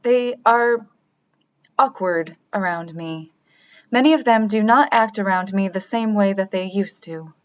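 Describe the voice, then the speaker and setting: A woman playing a polite, literal-minded artificial crew member in a sci-fi radio drama, speaking in a formal, measured, matter-of-fact way. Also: mid-range voice, lo-fi sound, lightly acted. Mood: puzzled, matter-of-fact. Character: polite, literal-minded reactivated artificial crewmate, puzzled by people.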